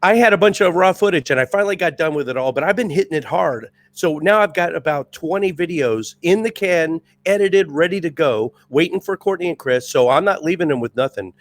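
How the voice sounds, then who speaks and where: A middle-aged man with a raspy voice from years as a drill instructor, talking casually as a podcast guest in an intense, amped-up way. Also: a little high, lively intonation, a little fast, few pauses, no echo. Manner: intense, amped-up, restless talker. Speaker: podcast guest, retired Marine first sergeant. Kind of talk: casual chat.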